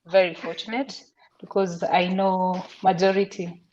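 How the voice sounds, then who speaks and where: A woman's voice: lowish voice, flat delivery, unhurried, a little mellow. A woman from Kenya, a guest on a video call, speaking thoughtfully. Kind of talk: casual video call chat. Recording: dull lo-fi call audio.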